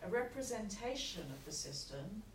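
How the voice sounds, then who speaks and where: A woman lecturer giving a university lecture with a croaky voice, explaining at a measured pace with frequent checks like "okay". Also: mid-range voice, big pitch swings. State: croaky, recovering from lost voice. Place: lecture hall. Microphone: room recording from far off. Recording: echoey hall, distant mic, noisy.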